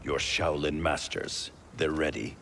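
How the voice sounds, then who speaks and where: A man voicing a grim, terse warrior in a video game, with a deep, gravelly, commanding voice. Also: flat delivery, a little slow, very noisy, exaggerated voice. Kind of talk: dramatic video game acting.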